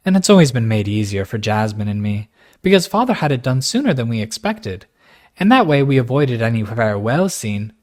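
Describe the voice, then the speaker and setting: A man voicing a frank, impulsive young woman from a wealthy family, speaking high and fast. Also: very animated, close to an everyday voice. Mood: matter-of-fact. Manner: high, passionate, rushed.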